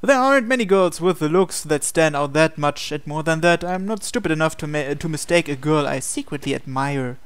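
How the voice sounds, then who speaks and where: A man's voice: on the high side, lively intonation, fairly quick, hardly any pauses, fairly bright tone, smooth. A man hosting a game playthrough, reading visual novel narration aloud expressively with casual asides.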